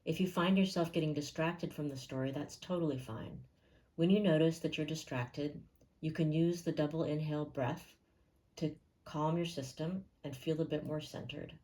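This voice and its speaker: Woman, low pitch, dark relaxed tone, gentle, calm, slow guided-meditation narration with pauses. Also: rough recording.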